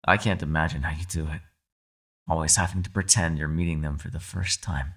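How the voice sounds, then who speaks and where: A man playing a captive mind-controlling being in an ASMR roleplay, calm, shrewd and persuasive, speaking in a measured, formal, quietly condescending way. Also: extremely low pitch, slightly rough, exaggerated voice. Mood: pointed, persuasive. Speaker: voice actor. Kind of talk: ASMR roleplay, dramatic monologue.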